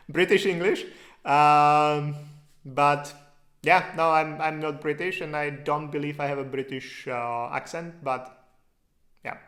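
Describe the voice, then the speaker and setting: A man's voice: fairly high pitch, steady tone, slow, fairly bright tone. A man from the Czech Republic speaking English with a Czech accent, hosting a casual live question-and-answer stream in a loose, chatty way with lots of fillers. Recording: roomy echo.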